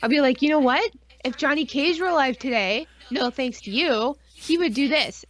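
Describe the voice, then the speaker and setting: Sarcastic, higher-voiced woman on a stream. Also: normal speed, fairly bright tone. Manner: sarcastic, mock-outraged ranting. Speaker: podcast co-host. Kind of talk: casual, sweary live-stream banter about films. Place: live online stream.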